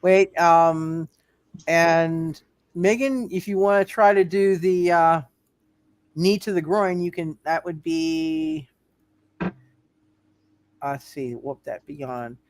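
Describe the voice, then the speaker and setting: A man narrating a tabletop game as game master, improvising in a halting way and repeating himself. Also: a little high, dry recording.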